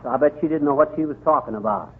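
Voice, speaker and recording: An actor playing a boastful, folksy small-town husband and wisecracking know-it-all in a 1930s radio comedy. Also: male voice, fairly high pitch, very fast, lightly acted. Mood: breezy. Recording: tinny, noisy 1930s radio recording.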